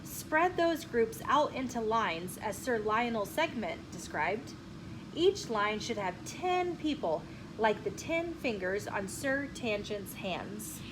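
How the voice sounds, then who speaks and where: A woman's voice: a little high, sing-song, takes it slowly. A woman voicing a commanding, helpful nobleman who organizes crowds in a children's storybook, in a declamatory way. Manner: calling out, declamatory. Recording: clearly noisy room with ambient sound.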